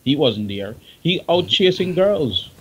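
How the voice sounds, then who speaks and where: A man's voice: a little high, sing-song, a little slow, a bit bright, slightly rough, rough recording. Blunt Jamaican man, emphatic talker. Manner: blunt, emphatic, repeats himself for stress. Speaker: guest, veteran music engineer. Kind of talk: outspoken talk show discussion.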